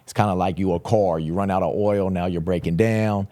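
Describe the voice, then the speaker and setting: Deep-voiced encouraging podcast host man. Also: steady tone, a little fast, mellow. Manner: encouraging, slangy backchannels. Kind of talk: casual interview chat. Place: small studio set with wooden tables. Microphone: boom-mounted studio mic.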